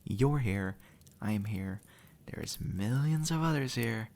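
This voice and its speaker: A man's voice: lowish voice, animated, slow pace, darkish tone. A man playing a sardonic, impatient demon in a conversational audio roleplay, blunt and sarcastic, speaking straight to the listener. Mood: exasperated, sarcastic. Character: sardonic, impatient male demon, centuries dead, gruffly reassuring.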